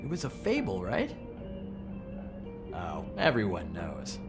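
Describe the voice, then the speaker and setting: A man's voice: on the low side, wildly swooping pitch, music in the background, full character voice. An actor playing a cocky, mouthy male troublemaker in a video game, sarcastic and goading. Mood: mocking, smug. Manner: sarcastic, goading, drawn-out emphasis.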